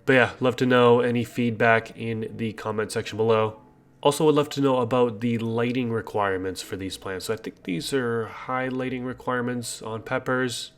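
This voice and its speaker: A man with a congested voice, chatting casually and upbeat as he hosts a gardening video and asks viewers for feedback. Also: on the low side. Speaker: gardening channel host.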